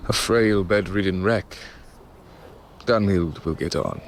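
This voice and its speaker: An actor playing a frail, dying old king in an audio drama, weary and wry, with halting speech. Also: deep voice, slight background noise. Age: older.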